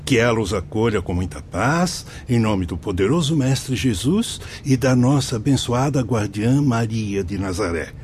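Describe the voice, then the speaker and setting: An actor playing a genial priest who directs a spirit-world watchtower in a radio drama, courteous and explanatory, with a Brazilian accent. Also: male voice, fairly low pitch, animated, a little slow, hardly any pauses, darkish tone, a little hiss or hum. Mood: welcoming. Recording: music behind the voice.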